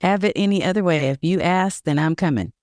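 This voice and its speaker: A woman's voice: fairly low pitch, brisk, a little mellow, no echo, studio quality. A woman, a video marketing coach, speaking as a guest on a podcast in an upbeat, casual way, laughing easily. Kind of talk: casual interview.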